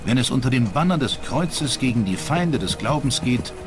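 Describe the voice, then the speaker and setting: A man's voice: medium pitch, expressive, moderate pace. A man narrating a scripted history documentary as its narrator. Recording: music under the narration.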